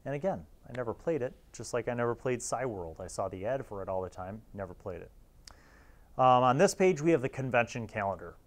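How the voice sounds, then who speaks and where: A man in his forties giving casual, chatty review commentary in an informal, jokey, slangy geek manner. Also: on the low side. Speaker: host of a magazine review series.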